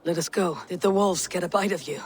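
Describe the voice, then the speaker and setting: A woman's voice: fairly low pitch, steady tone, brisk, a little hiss or hum, close to an everyday voice. A woman playing a stern, stoic female Viking warrior in a video game. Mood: stern, probing. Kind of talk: dramatic video game acting.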